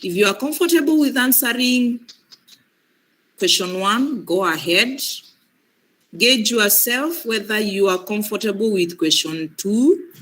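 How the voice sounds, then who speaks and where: A woman whose voice is breaking from a cold leads a live training session over a computer mic. She speaks slowly and patiently, circling back to repeat each instruction. Her pitch sits in an ordinary middle range. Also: rough recording. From Kenya. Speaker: online exam trainer.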